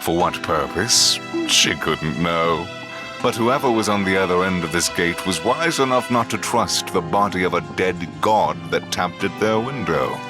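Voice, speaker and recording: An actor playing a grave storyteller, narrating a science fiction audio drama in dramatic style. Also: male voice, deep voice, expressive, unhurried. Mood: grave, portentous. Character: grave male storyteller of a space epic. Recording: loud music under the voice.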